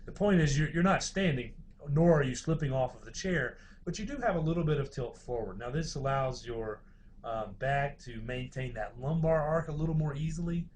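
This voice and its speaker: A man's voice: mid-range voice, moderate pace, slight background noise. A man giving an informal university lecture, explaining things in a hesitant, hedging way.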